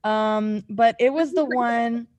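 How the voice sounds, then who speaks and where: A woman with a fairly high voice hosts a podcast in a loose, chatty way. She is animated and quick to giggle. The sound is very dry, with hardly any room on it. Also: no room sound. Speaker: podcast host. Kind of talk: casual chat.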